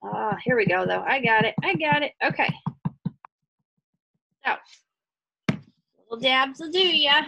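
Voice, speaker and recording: A woman with a high voice that swings widely in pitch and often drops into creak. She talks like a casual craft instructor walking learners through each step. Her delivery is chatty and encouraging, thinking out loud as she goes. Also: lively intonation, unhurried, long pauses, a little hiss or hum, poor audio. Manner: chatty, encouraging, thinks aloud while working.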